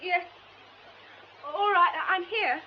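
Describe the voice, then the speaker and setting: A woman playing a caring young actress in a radio drama, practical, polite and steady under shock. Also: very high-pitched, slow. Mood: tense but steady. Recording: dull radio recording, faint noise.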